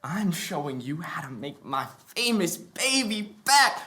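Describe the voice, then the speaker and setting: A woman's voice: lowish voice, big pitch swings, unhurried, bright, forceful tone, full character voice. A woman playing a cocky young vegan from Baltimore in a sitcom read on stage. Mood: smug, instructing. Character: cocky young mixed-race vegan from Baltimore, streetwise teacher. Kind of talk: sitcom table read before live audience. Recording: roomy stage sound.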